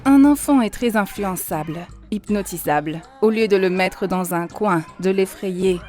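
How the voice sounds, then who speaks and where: A woman voicing an earnest female mentor in a cartoon, lecturing in a measured, instructive way. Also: medium pitch, a little mellow, lightly acted. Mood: earnest, didactic. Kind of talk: dubbed cartoon dialogue, didactic monologue. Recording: music behind the voice.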